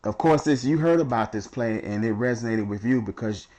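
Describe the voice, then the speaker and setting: A man hosting a casual interview, relaxed and chatty, who chuckles at his own jokes. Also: mid-range voice, a little fast, slight background noise. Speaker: radio show host.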